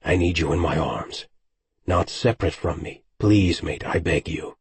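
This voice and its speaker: A man's voice: measured pace, no room sound, full character voice. A man doing a growling brooding warrior lover. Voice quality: low, husky growl. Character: brooding, powerful otherworldly male warrior, possessive. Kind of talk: audiobook romance reading.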